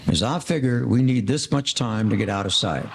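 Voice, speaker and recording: An actor playing a matter-of-fact gangster on the run in a 1940s radio play, speaking deliberately. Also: male voice, medium pitch, lively intonation, gravelly, very noisy.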